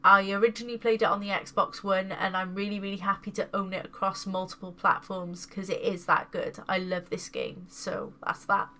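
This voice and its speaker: A woman's voice: mid-range voice, little pitch movement, normal speed, hardly any pauses, bright, forceful tone, a little hiss or hum. A woman casually showing off her new video game pickups, sounding congested from a cold and repeating words with enthusiasm. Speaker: gaming video host.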